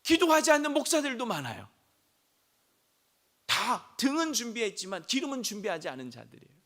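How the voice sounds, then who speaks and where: A man preaching a sermon as senior pastor, hoarse from a throat cold, asking the congregation questions and rising to shouts. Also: extremely high pitch, huge pitch swings, brisk. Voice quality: gravelly.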